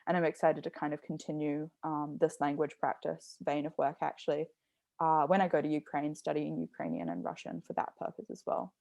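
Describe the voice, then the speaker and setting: A young woman with a low voice and plenty of gravelly fry talks through her ideas slowly and carefully. The sound is close and dry, with no room around it. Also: flat delivery, a little mellow, no echo. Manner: reflective, explaining carefully. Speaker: artist in conversation. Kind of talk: thoughtful conversational talk.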